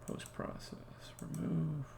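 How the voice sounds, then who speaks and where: A man thinking aloud on a live-coding stream, muttering to himself in a halting way and often trailing off. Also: warm tone. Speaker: indie game developer streaming. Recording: faint background noise, keyboard clicks. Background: computer keyboard typing and clicking.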